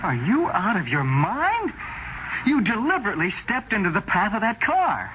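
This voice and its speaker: A man acting in a radio suspense drama as a devoted friend and literary agent, speaking with earnest, deliberate emphasis. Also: high-pitched, huge pitch swings. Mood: alarmed. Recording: noisy old radio drama recording.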